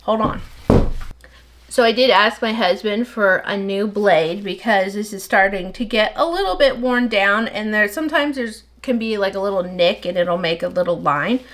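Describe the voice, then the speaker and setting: Woman, slightly nasal, middle-aged, rambling casual chat, mid pitch. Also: fairly bright tone. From United States. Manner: rambling, talks fast without pausing for breath. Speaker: soap maker. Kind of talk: casual chat while working. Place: home soap workshop.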